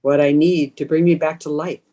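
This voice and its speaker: A woman playing a bloodthirsty, commanding old vampire woman in old-time radio horror style, speaking with slow, insistent menace. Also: low voice, steady tone, mellow, natural voice. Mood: menacing, hungry.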